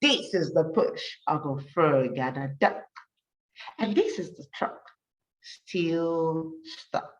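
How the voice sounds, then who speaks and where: A woman teacher reading a rhyming story for children, with an encouraging manner and deliberate, emphatic delivery. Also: low-pitched, lively intonation, distant mic, lo-fi sound. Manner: encouraging, praising, addressing children directly.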